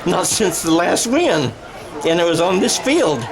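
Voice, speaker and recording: A man's voice: high-pitched, sing-song, unhurried, poor audio. A man doing radio-style sports play-by-play in a conversational way, as if thinking aloud. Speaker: play-by-play commentator. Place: high school football game. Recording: crowd and music behind. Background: stadium crowd and music.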